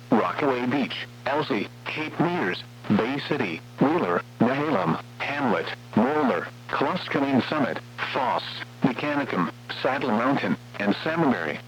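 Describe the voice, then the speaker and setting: A synthetic male voice reading scripted weather warning bulletins in a formal, flat manner as an automated radio announcer. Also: mid-range voice, unhurried. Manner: formal, flat synthetic text-to-speech delivery. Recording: muffled, noisy weather radio with hum.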